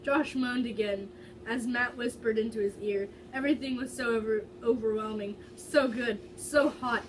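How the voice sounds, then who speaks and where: A woman's voice: on the high side, steady tone, moderate pace. A woman reading fan fiction aloud dramatically, stumbling over words and breaking into giggly casual asides. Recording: distant mic with faint background noise.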